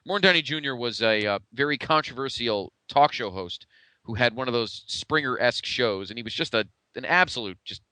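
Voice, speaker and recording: A man with a bright, smooth voice at a middling pitch, chatting casually as a podcast co-host. His delivery is blunt and the sound is dry and close. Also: expressive, brisk, no room sound. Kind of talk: casual pro wrestling retrospective chat.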